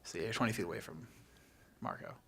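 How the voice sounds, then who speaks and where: A man's voice: sits low, wildly swooping pitch, fairly quick, creaky, pushed and bright. A man narrating a tabletop game scene in a casual, hesitant and understated way.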